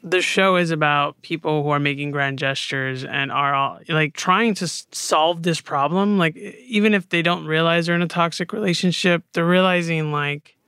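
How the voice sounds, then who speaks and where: A podcast co-host chatting casually in a halting, playful way. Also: mid-range voice, sharp tone, smooth, dry recording, studio quality. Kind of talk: casual chat.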